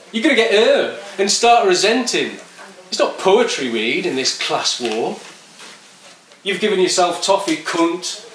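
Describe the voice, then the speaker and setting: A man's voice: fairly high pitch, pitched above the performer's own voice. A man putting on a jeering foul-mouthed young skinhead. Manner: sneering, swearing, shouted threats. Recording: echoey and distant with faint noise.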